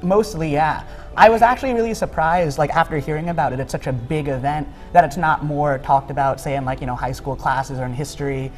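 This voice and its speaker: A young man from the United States, a guest in an interview, talking in a relaxed, conversational way with filler words. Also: a little high, a little fast, faint music behind. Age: young adult. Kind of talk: casual interview talk.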